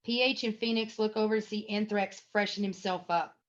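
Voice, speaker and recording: A woman's voice: medium pitch, fairly even intonation, a little fast, highs cut off. A woman reading stage directions as the narrator of a scripted screenplay table read.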